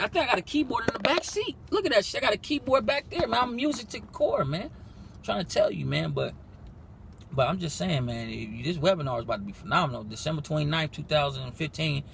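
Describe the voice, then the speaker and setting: A man in his twenties, a music producer giving a casual, profane motivational pitch, possibly recorded in a car. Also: vocal fry, slight background noise. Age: 20s. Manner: animated, profane, rapid slangy talk.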